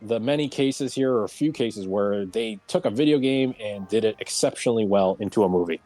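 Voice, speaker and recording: A man's voice: lowish voice, fairly quick, mellow, no room sound, faint music behind, rough recording. A man hosting a casual livestreamed round table talk show.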